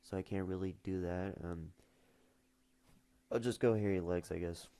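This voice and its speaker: A man's voice: deep voice, steady tone, moderate pace, warm tone, no room sound. A teenage boy streaming casually, mumbling and trailing off with fillers as he reads questions aloud. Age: teens. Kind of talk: casual livestream chat.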